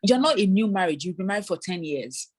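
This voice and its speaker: Woman consultant, mid pitch, explanatory, mimics applicants' lines. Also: lively intonation, fast talker, breathy edge. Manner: explanatory, voices hypothetical applicants. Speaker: immigration consultant. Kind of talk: explaining advice in an interview.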